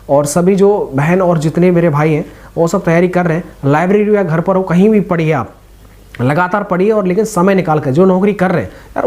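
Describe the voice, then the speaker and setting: Mellow-voiced young man giving casual advice. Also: high-pitched. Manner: informal, reassuring, addressing listeners as brothers. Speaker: exam-preparation video host. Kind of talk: casual motivational advice to exam candidates.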